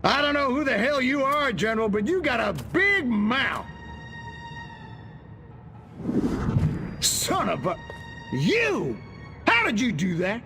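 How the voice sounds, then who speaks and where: A man's voice: very high-pitched, exaggerated voice. A man playing a pompous, paranoid American army general in a video game, full of combative bluster. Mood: furious.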